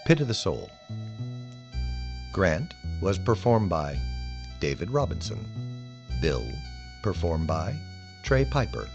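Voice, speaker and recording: A man's voice: low voice, big pitch swings, slow pace, gravelly, long pauses, highs cut off, over music. A man reading scripted credits as the announcer of an audio drama.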